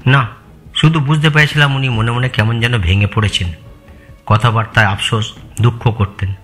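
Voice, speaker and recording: A man acts out a long-serving male family employee in an audio thriller. His voice sits at a middle pitch. He answers with polite deference, hesitating and holding something back. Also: fairly even intonation, moderate pace, a bit bright, soft background music, lightly acted. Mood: guarded, regretful. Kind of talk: audio story dramatised dialogue.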